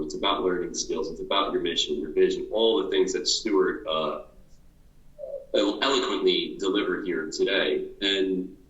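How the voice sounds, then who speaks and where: Deep-voiced man interviewing earnestly. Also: fairly bright tone, reverberant, dull audio. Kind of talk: motivational interview.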